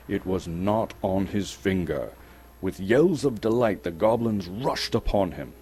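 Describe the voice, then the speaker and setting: A man from Canada giving a dramatic, suspenseful storybook narration with theatrical, deliberate phrasing. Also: on the low side, warm tone, breathy edge. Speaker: actor reading a story aloud. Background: music.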